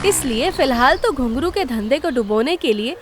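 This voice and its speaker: A woman's voice: sits high, expressive, normal speed, slight background noise. A woman delivering scripted narration for a cartoon story. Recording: loud music behind.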